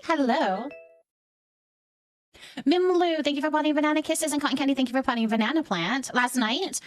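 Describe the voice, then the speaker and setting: High-voiced woman chatting on a game livestream. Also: moderate pace, dry recording, studio quality. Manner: chatty, thinking aloud. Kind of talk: casual livestream chat with viewers.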